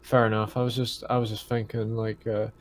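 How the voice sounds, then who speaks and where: A man's voice: fairly low pitch, little pitch movement, brisk. A man chatting casually as a tabletop roleplaying player, thinking aloud in a tentative way. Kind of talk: casual game-table chat.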